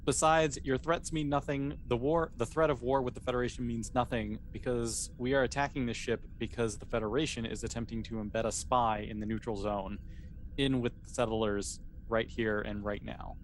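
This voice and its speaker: A man's voice: medium pitch, fairly even intonation, normal speed, slight background noise, natural voice. A man voicing a defiant, bloodthirsty alien warrior commander in a tabletop game, with declamatory threats.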